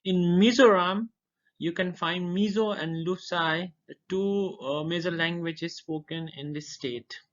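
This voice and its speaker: A young man from Darjeeling, India, whose first language is Nepali, giving an informal educational presentation and listing items one by one, pausing to recall names. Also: high-pitched. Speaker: student presenter. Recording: dull lo-fi recording.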